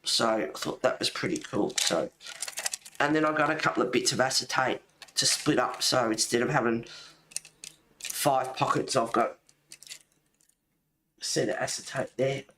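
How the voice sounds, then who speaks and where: A man's voice: medium pitch, moderate pace, pauses often, sharp tone. A man with an Australian accent chatting casually as a livestream host, rambling and easily sidetracked. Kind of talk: casual chat. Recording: roomy echo, faint noise.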